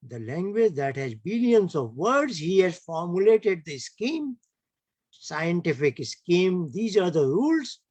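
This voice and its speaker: Slow, emphatic Indian English man lecturing. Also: sits high, very animated, a little mellow, no room sound. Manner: emphatic, asks rhetorical tag questions. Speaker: lecturer at an online heritage camp. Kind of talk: online lecture.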